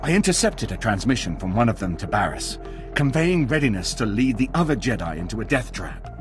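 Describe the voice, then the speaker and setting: An actor playing an earnest, rattled military spy in a video game, clipped and deferential in delivery. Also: male voice, fairly low pitch, very animated, brisk, over music. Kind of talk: video game cutscene acting.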